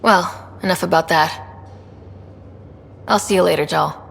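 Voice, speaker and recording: Space explorer hero, curious and plainspoken, creaky voice, big reverb on it. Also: female voice, fairly low pitch, measured pace, heavily acted. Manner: dry, casual, friendly. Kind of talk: video game dialogue acting.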